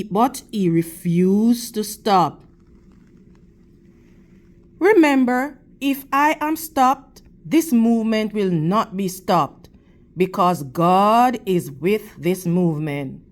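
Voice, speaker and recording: A woman reads a children's picture book aloud at an unhurried, slow pace. Her voice sits at a middle pitch, and she phrases things deliberately, as a teacher does when addressing young listeners. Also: lively intonation.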